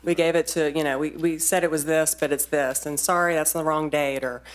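Low-voiced woman pressing a town board. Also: fairly even intonation. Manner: insistent, quick to interrupt. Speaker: resident speaking at a public meeting. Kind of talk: public comment at a town board meeting. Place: large council chamber. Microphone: standing podium mic from a distance.

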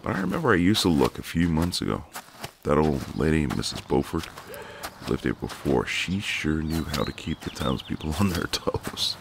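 A man playing a friendly, gallant, gently teasing ex-marine neighbour in an intimate, easygoing one-sided roleplay. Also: extremely low pitch, big pitch swings, moderate pace, vocal fry, noisy background, heavily acted. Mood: friendly, chatty. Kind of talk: intimate ASMR roleplay, one side of a conversation.

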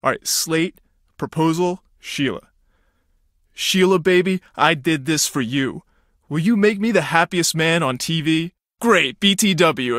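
A vain hammy reality tv guy in a game, bright punchy voice, a bit high for a man. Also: no echo, clean studio sound. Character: vain, slick male reality-show star, hammy and self-absorbed. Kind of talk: video game voice acting.

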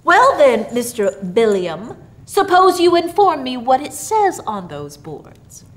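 A woman's voice: high voice, sing-song, takes it slowly, pitched above the performer's own voice, full character voice. A well-to-do storybook papa, played by a woman, formal and hearty in a mock-grand way. The delivery swings widely and lands firmly on its words. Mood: joking. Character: Mister Harrison, grave, jovial well-to-do father.

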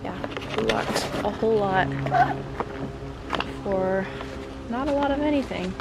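A woman's voice: medium pitch, expressive, measured pace, over loud music. A woman in her thirties chatting casually to camera and to her small child as she vlogs outdoors. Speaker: vlogger mother hiking with her young daughter. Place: outdoors on a wooded rocky trail. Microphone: handheld camera at arm's length. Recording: noisy outdoor handheld camera audio. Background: outdoor ambient noise.

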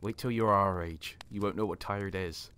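A man acting an elderly pub regular in an audio drama, low-pitched with a forceful, clear tone. He is blunt, old-fashioned and plain-spoken. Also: steady tone, normal speed. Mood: familiar, teasing. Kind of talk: dramatic script reading.

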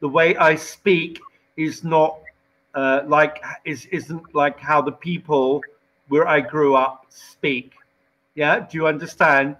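An older English man with a southern, London-like accent, teaching over a headset on a video call. He talks slowly and deliberately, voice sitting a touch high for a man, correcting pronunciation with patience. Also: fairly even intonation, fairly bright tone, rough recording. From England. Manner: slow, clear, patient corrections. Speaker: English tutor. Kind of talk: casual video call lesson.

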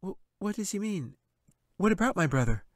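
Man putting on a squeaky scared little girl voice, stammery and whiny, very high. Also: sing-song, moderate pace, no room sound, studio quality. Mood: confused. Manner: stammering, pleading. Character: frightened little human girl, whiny and bewildered.

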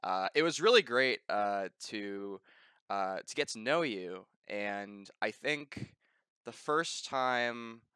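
A man's voice: on the low side, animated, slow pace, bright, forceful tone, clear, resonant tone, no room sound, studio quality. A young man in his twenties hosting a casual interview, talking in a rambling way with many restarts, recorded on a headset mic in his bedroom. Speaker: podcast interviewer. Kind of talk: casual interview over voice call. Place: bedroom, indoors. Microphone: gaming headset mic.

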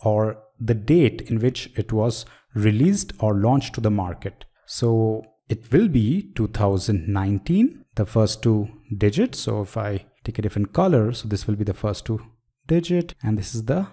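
Man explaining over slides, mellow tone. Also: lowish voice, animated, unhurried, breathy edge. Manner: explanatory, asks the viewer questions, frequent "so". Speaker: software trainer. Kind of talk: screen-recorded tutorial lecture.

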